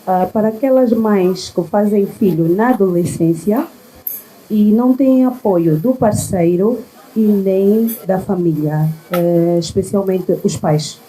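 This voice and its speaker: A woman's voice: mid-range voice, slow pace, dark tone, slightly rough, rough recording. A woman in her thirties in an audience, putting an unscripted question to a panel. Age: 30s. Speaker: audience member asking a question.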